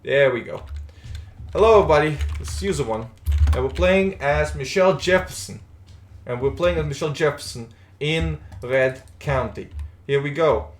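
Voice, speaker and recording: Rambling young streamer. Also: male voice, on the high side, normal speed, slight background noise. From Latvia. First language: Russian. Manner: rambling, chatty, many restarts. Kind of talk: casual live-stream chat while gaming. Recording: roomy echo.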